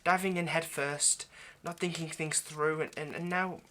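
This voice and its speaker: A man's voice: a little high, steady tone, moderate pace, sharp tone. A man playing a nervous, centuries-old vampire kidnapper in an intimate ASMR roleplay, hesitant, stammering and apologetic as he speaks to the listener. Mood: flustered regretful. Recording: faint background noise.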